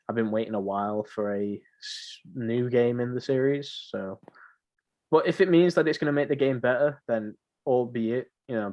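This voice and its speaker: Male, seventeen, mid-pitched voice. Informal podcast co-host, slangy and jokey, chatting casually. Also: a little mellow, highs cut off. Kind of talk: casual chat about video games.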